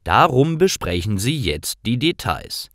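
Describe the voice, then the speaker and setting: A man narrating scripted stories for language learners in simple, clear sentences. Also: mid-range voice, very animated, measured pace, fairly bright tone, dry recording, studio quality.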